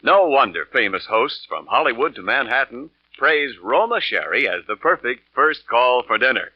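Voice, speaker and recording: A man's voice: mid-range voice, big pitch swings, normal speed, dry recording. A man announcing for a 1940s radio show, delivering scripted sponsor copy with polished, emphatic salesmanship. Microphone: studio radio microphone. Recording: muffled old radio broadcast, faint music.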